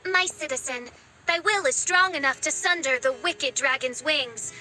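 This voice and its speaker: A woman's voice: high voice, moderate pace, sharp tone. A woman voicing a young, haughty, self-styled princess in a video game, with pompous, archaic grandeur. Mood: regal, solemn. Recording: background music under the voice.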